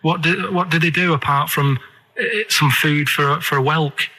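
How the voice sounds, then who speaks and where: A man's voice: on the high side, fairly even intonation, moderate pace, pushed and bright, poor audio. A man with a Northern English accent rambling comically on the radio in an earnest, naive, persistent way. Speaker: radio show regular making a phone call.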